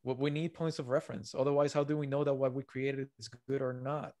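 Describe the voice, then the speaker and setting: A man in his thirties speaking English as a second language, earnest and emphatic as he works through his points. His voice sits at a middle pitch and comes through dry and close, with no room sound around it. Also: level tone, fairly quick, dark tone, no echo. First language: not English. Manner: earnest, emphatic, gives examples. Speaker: author guest. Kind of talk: podcast interview. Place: home room with bookshelves. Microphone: video call, headset.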